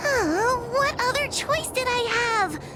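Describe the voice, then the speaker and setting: A woman's voice: extremely high pitch, animated, takes it slowly, noisy background, heavily acted. A woman playing a timid, tearful young fox girl in a video game, sweet and apologetic, with a hesitant, trembling, plaintive delivery. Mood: plaintive, tender. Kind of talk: animated video game voice acting. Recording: voice over loud game music. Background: game music.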